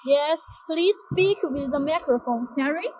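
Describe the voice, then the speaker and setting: A woman giving a product demonstration outdoors near a road, in halting, simple phrasing with many pauses. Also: high voice. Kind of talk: product demo walkthrough. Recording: loud traffic noise outdoors.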